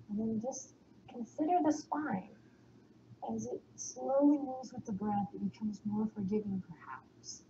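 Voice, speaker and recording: A woman with a slight Texan accent guiding a yoga class, giving calm, soothing cues and breathing the exhales out in whispers. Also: medium pitch, muffled recording, a little hiss or hum. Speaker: yoga instructor.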